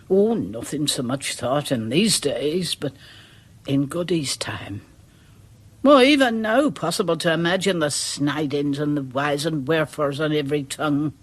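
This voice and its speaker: A village charwoman from a radio play, with a rural English accent. She speaks slowly and haltingly, rambling in a folksy, deferential way. She sounds like a superstitious, uneasy teller of local tales. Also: low voice, husky, full character voice. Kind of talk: radio drama acting.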